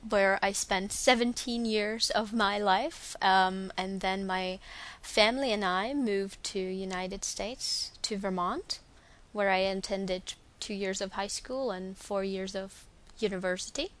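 A woman's voice: medium pitch, steady tone, slow pace, a bit bright. A young woman from Slovakia with a Slovak accent, speaking English as a second language; she reads a passage carefully, then talks with some hesitation. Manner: careful, slightly hesitant with fillers and restarts. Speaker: dialect archive speaker. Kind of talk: reading a set passage, then casual self-introduction.

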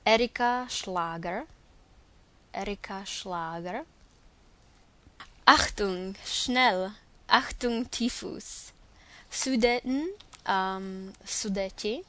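A young adult woman with a Slovak accent, whose first language is Slovak, slowly pronouncing names and repeating each word twice for a dialect recording. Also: medium pitch, bright, forceful tone. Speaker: dialect archive speaker. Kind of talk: reading names aloud for a pronunciation recording.

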